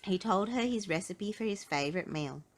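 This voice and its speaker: A woman reading a children's story aloud in an expressive, dramatic storytelling style. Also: mid-range voice, moderate pace. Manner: dramatic, animated delivery with emphasis. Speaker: storybook narrator.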